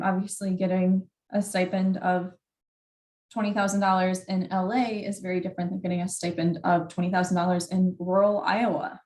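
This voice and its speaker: A young adult woman giving informal advice as a graduate student mentor in a workshop, conversational and candid, often saying "like". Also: fairly low pitch. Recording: roomy, echoey sound.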